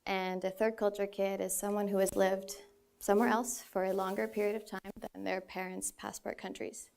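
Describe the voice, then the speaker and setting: A woman's voice: mid-range voice, level tone, moderate pace, creaky, a little mellow. Young woman giving an earnest stage talk. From Philippines. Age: 20s. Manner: earnest, with light self-deprecating laughs. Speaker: speaker. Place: stage in a large hall.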